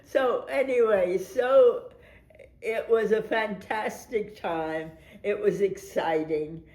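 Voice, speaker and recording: Older woman telling stories slowly, roomy echo. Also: mid-range voice. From United States. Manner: animated storyteller who laughs often. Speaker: veteran stage actress. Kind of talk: reminiscing documentary interview.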